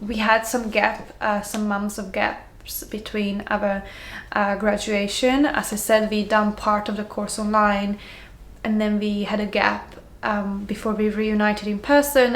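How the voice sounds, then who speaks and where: A woman's voice: medium pitch, roomy sound, a little hiss or hum. A young woman from Slovakia with a mixed Slovak-Hungarian accent, chatting to camera in a casual, rambling way, full of ums, restarts and easy laughs. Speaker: yoga teacher vlogger.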